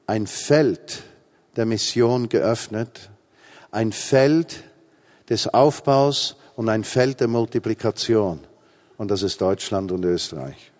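A man's voice: mid-range voice, a little mellow. A Swiss man in his forties with a Swiss German accent, preaching a humorous sermon with emphatic repetition. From Switzerland. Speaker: pastor.

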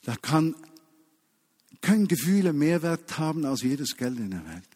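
A man preaching a sermon to a congregation, emphatic, stretching key phrases and dropping to a hush. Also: a little high, sing-song. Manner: emphatic, with slow drawn-out key phrases and hushed drops. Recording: long hall reverb.

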